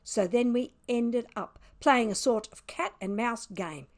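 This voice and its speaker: A woman's voice: on the high side, measured pace. A woman reading a children's storybook as a smug, sardonic, mischievous pet cat, with dramatic, wry emphasis. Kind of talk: children's storybook read aloud.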